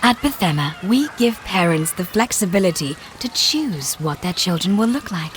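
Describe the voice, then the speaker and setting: An actress in a scripted audio drama playing a polished, guarded tour guide at a child incubation facility, formal and measured. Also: female voice, on the low side, expressive, a little hiss or hum, lightly acted. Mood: pleasant, proud. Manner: formal, measured, politely evasive.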